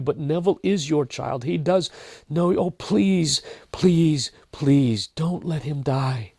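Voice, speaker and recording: A man voicing a desperate, weeping woman who pleads haltingly in a breathy, strained voice in a dramatic story. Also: a little high, a little mellow, no echo, pitched above the performer's own voice. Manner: pleading, halting, repeating herself. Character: desperate, weeping young woman praying for a loved one.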